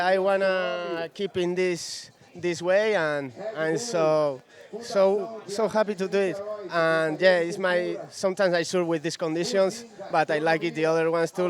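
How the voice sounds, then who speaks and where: Young male athlete, broken English, outdoor interview with a crowd audible. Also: high-pitched. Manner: halting non-native English, soft-spoken. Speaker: competitor being interviewed. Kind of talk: post-heat interview. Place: outdoor beach event interview backdrop. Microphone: handheld mic held by interviewer.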